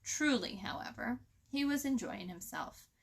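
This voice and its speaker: A woman storyteller reading a children's book aloud in an expressive narrating style. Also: on the high side, measured pace.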